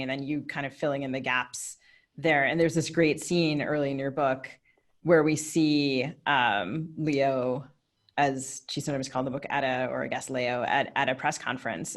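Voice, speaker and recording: A woman hosting a literary interview, asking thoughtful questions in deliberate, winding phrases. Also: extremely low pitch. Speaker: podcast co-host, interviewer.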